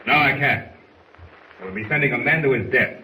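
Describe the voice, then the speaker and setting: An actor playing an anguished, agitated navy submarine commander in a 1940s radio drama. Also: male voice, mid-range voice, moderate pace. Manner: forceful, agitated. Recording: echoey, noisy 1940s radio recording.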